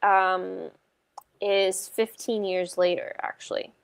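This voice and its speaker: A woman's voice: medium pitch, level tone, slow, creaky, noisy background. A woman hosting a casual book discussion on a video call, talking in a hesitant, rambling way with lots of "like". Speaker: book club livestream host.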